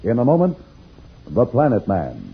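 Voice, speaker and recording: A man's voice: mid-range voice, wildly swooping pitch, moderate pace, gravelly, husky, full character voice. A man narrating a vintage radio adventure serial in a grand, declamatory scripted style. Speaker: announcer and narrator. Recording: tinny old radio recording. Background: loud dramatic music.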